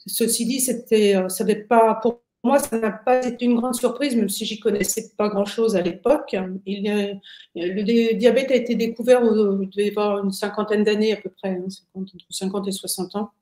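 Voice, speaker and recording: A woman of sixty-six gives a personal account in conversation, often halting to find her words. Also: medium pitch, steady tone, mellow. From Paris, France. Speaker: interview guest, retiree. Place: indoors, plain room on video call. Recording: roomy, echoing video call.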